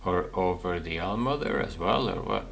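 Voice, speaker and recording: A man's voice: low voice, lively intonation, moderate pace, gravelly, fairly bright tone, a little hiss or hum. A man giving casual commentary as he plays a game, musing and thinking aloud. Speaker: gaming video host.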